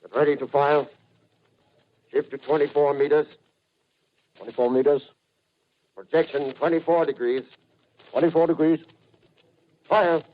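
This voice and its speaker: An actor playing an artillery officer giving clipped, commanding battle orders in 1930s radio drama style. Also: male voice, fairly high pitch, steady tone, unhurried, long pauses, lightly acted. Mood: urgent command. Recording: muffled old 1930s radio recording.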